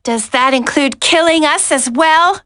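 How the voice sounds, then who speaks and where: A defiant young female agent in captivity, from a video game. Her voice is very high and piercing, slow and anguished in its delivery. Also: pushed and bright, no room sound. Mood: shocked. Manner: anguished, urgent, breaking.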